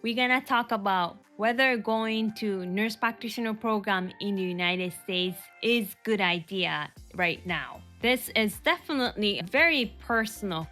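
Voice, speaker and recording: A woman's voice: medium pitch, bright, forceful tone. A middle-aged woman from Japan with a Japanese accent, a nurse practitioner hosting an earnest, upbeat advice talk for nurses. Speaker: nurse practitioner and career mentor, host.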